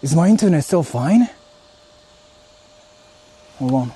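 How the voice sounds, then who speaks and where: A man's voice: a little high, lively intonation, takes it slowly, warm tone, breathy edge. A man livestreaming a casual vlog outdoors, chatting to viewers and thinking aloud with plenty of swearing. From Japan. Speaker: livestreamer exploring haunted spots. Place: outdoors on the move in rural area. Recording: noisy outdoor livestream recording.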